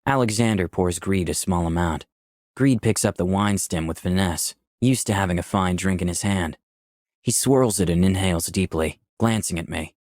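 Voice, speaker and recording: Man as low-voiced young visual novel protagonist. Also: moderate pace, darkish tone, no room sound, clean studio sound, natural voice. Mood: reflective. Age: young adult. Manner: clear scripted storytelling. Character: candid, easygoing 24-year-old man, protagonist and narrator. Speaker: narrator and protagonist. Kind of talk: first-person visual novel narration and dialogue.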